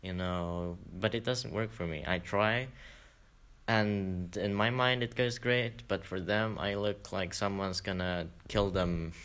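A man's voice: low-pitched, steady tone, slow pace, fairly bright tone. A young man talking casually on a student podcast, hesitant and often laughing as he trails off. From Sweden. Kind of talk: casual chat.

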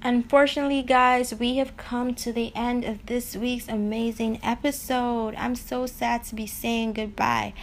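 A woman with a fairly high voice hosts a podcast, addressing listeners in an informal, emphatic way. There is some noise in the recording behind her. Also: flat delivery, unhurried, hardly any pauses. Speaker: podcast host. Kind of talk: radio drama podcast hosting, addressing listeners. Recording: clear background noise.

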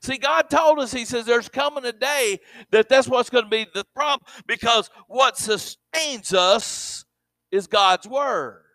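High bright-voiced pastor preaching. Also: male voice, dry recording, rough recording. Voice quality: monotone. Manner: halting, repeats words. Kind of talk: sermon.

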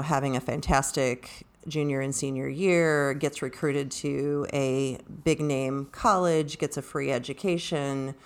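A woman speaking unscripted in a book discussion, in a halting, measured way. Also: a bass voice. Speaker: book discussion podcast participant.

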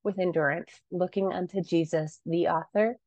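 A woman's voice: on the low side, steady tone, normal speed, a little mellow, no room sound. Woman co-host on a dull, dry mic. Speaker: podcast co-host. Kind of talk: podcast hosting and sign-off.

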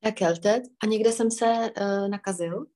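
A woman teaching a language on a call, patient and encouraging. She keeps prompting and gently correcting, with frequent short acknowledgments. Her voice sits at an ordinary mid pitch. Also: normal speed. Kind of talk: online language lesson.

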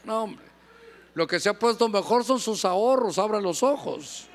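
Lively high-voiced man preaching a sermon. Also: moderate pace. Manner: lively, joking with the congregation, acting out little scenes.

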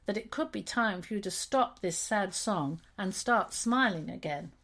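A woman's voice: mid-range voice, lively intonation, a little slow, fairly bright tone. A woman voicing a sensible little girl who speaks firmly and plainly. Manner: firm, no-nonsense.

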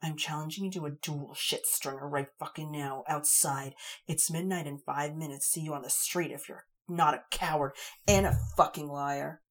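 Read by a woman with a low, husky voice, a furious half-fairy warrior woman, cursing and shouting her rage. Her husky voice climbs high and loud as she rants. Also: fairly even intonation, moderate pace.

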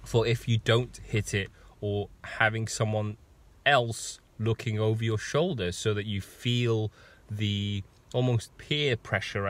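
Man, hoarse and husky from a cold, slow rambling coach. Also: fairly low pitch. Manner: rambling, with many ums and restarts. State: hoarse with a sore throat and cough. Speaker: fitness coach. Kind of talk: casual live video talk.

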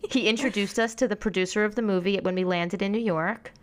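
A woman's voice: mid-range voice, fairly even intonation, fast talker. A woman co-hosting a podcast, chatting casually and reading tweets aloud with laughs. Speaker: podcast co-host. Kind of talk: casual chat reviewing a reality show.

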